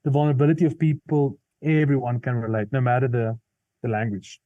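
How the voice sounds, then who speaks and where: A man's voice: mid-range voice, brisk, no echo, phone-quality sound. A South African man with an Afrikaans accent, earnestly explaining his views in an interview, with frequent restarts. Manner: earnest, thoughtful, many restarts and fillers. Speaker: comedian and musician guest. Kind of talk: interview conversation.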